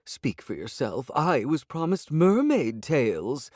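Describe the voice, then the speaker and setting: A man's voice: on the high side, big pitch swings, slow pace, a little mellow, dry recording, studio quality, pitched above the performer's own voice, full character voice. A man voicing a haughty, demanding female talking cat with a snippy, imperious manner, reading an audiobook. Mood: irritated.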